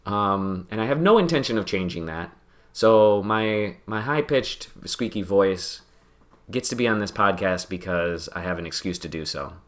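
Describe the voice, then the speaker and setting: A man with a lowish voice hosts a casual gaming podcast. He rambles, pokes fun at himself and laughs often as he talks with a friend. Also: animated, normal speed, fairly bright tone. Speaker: podcast host playing a game. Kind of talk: casual chat while gaming.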